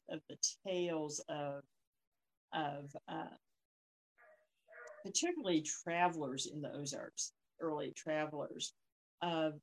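A woman co-hosting a podcast, speaking conversationally with frequent ums and pauses. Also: low voice, rough recording. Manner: hesitant, lots of ums and pauses. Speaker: podcast co-host. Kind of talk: conversational discussion of folklore.

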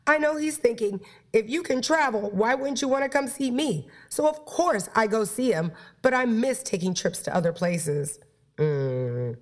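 A woman's voice: a little high, expressive, moderate pace. Stuffy-nosed flirty woman host. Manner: playful, flirty, talks straight to listeners. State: stuffed up from a cold. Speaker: podcast host. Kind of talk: chatty sex and relationship talk.

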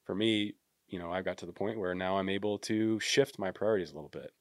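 Low-voiced male podcast host. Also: fast, dry recording, clean studio sound. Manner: conversational, often ends with tag questions. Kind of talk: casual interview talk.

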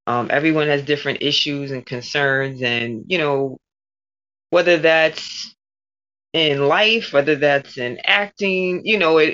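Female interviewee, voice actor; low, forceful bright tone, conversational delivery, slightly dull lo-fi recording. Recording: dull lo-fi audio.

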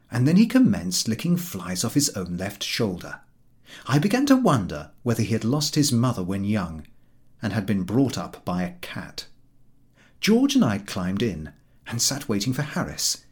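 A man narrating a humorous literary story from a script in an expressive storytelling manner. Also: fairly low pitch, husky. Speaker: audiobook narrator.

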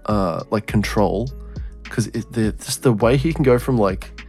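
A man's voice: fairly low pitch, measured pace, a little mellow, slightly rough, faint music behind. A man in his twenties co-hosting a podcast, rambling in casual chat with heavy swearing and lots of fillers. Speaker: podcast co-host.

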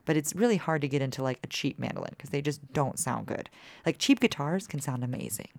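A woman in her thirties with a low voice, chatting casually as a musician guest and filling her talk with "like". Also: animated, quick pace, dry recording. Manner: chatty, peppered with "like" fillers. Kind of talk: casual interview chat.

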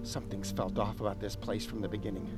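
A man's voice: fairly low pitch, level tone, fast talker, natural voice. A man playing a paranoid, demanding bigwig in an audio drama, agitated and insistent. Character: paranoid, demanding, foul-mouthed powerful man. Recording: clear music behind the voice.

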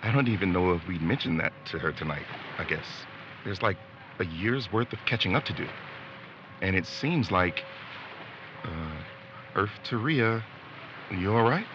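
A man's voice: low-pitched, lively intonation, measured pace, long pauses. A man acting an awkward, wistful young boyfriend in a naturalistic audio drama, talking hesitantly. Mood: earnest, musing. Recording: dull lo-fi audio with faint background noise.

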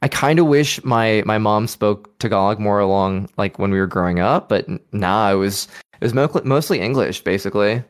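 A man as a guest on a casual podcast, talking in a relaxed, thoughtful way with small laughs. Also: fairly low pitch, moderate pace, clean tone. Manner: relaxed, thoughtful, many fillers and restarts, light laughs.

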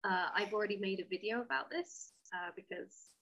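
Husky woman in conversation. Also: medium pitch, fairly quick, sharp tone, muffled recording. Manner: candid, opinionated. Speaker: interview guest, sci-fi writer. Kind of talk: conversational interview, explaining.

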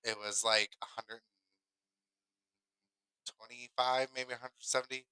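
A man's voice: medium pitch, level tone, unhurried, pushed and bright, clean tone, no echo, poor audio. A man co-hosting a podcast, telling a personal story casually and emphatically, often repeating himself to drive a point home. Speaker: podcast co-host.

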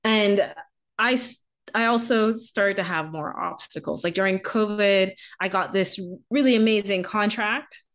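A woman's voice: mid-range voice, a little slow, fairly bright tone. A woman with a light Bahamian accent, a coach telling her story candidly on a podcast, often restarting her sentences. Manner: candid, repeats and restarts, laughs. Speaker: career and business coach, guest.

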